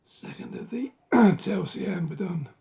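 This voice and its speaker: Hoarse man book collector, rough gravelly voice, unhurried half-whispered musing. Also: high voice, animated, dark tone. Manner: unhurried, musing, lots of ums and rights. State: losing his voice. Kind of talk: casual collection walkthrough.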